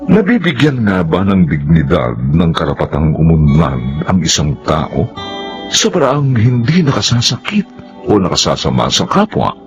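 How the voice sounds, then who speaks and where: A solemn male radio drama announcer with a deep voice and hugely animated pitch. He reads slowly and theatrically, over music. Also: muffled recording. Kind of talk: dramatic scripted radio introduction.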